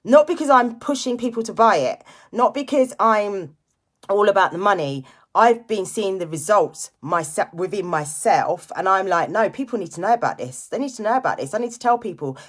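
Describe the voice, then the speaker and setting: Woman, late 40s, mid-pitched voice. Informal, emphatic motivational live-streamer who talks straight to viewers and drives points home by repetition. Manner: emphatic, repeats key phrases for effect; chatty, informal direct address to listeners. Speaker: live-stream host, direct seller giving motivational talk.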